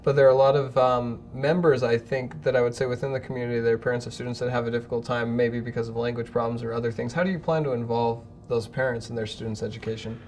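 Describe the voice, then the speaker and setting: A man's voice: mid-range voice, flat delivery, fast talker, hardly any pauses, a little mellow, a little hiss or hum. A man hosting a school interview show, upbeat and friendly as he asks questions. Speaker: student show host. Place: school office.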